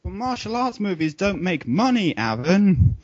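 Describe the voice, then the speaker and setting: A man with a mid-pitched, highly animated voice banters wryly as a podcast co-host. The recording is dull and lo-fi. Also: measured pace. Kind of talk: casual group podcast banter.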